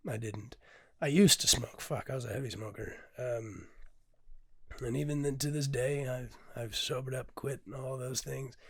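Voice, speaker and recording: Hoarse male podcaster, candid and raw, casual swearing, drops to a hush, dry close mic. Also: medium pitch, no echo. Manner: candid, unpolished, swears freely, many ums. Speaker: podcast host and poet. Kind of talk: casual reflective monologue with poetry reading.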